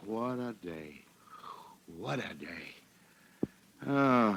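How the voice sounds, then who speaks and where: A weary, indignant American father in a vintage radio comedy. He is animated but takes his time, sighing and sputtering over a faintly hissy old recording. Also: mid-range voice, poor audio. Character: Mr. Andrews, weary, exasperated middle-aged family father. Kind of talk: 1940s radio comedy acting.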